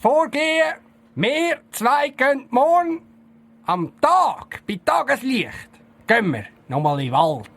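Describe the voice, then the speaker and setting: Guy doing a bossy jumpy detective boss, really high creaky voice, swiss german, blustery audio play. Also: sing-song, slow, fairly bright tone, exaggerated voice. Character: bossy, excitable, easily spooked male detective chief. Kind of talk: comic audio drama acting in dialect.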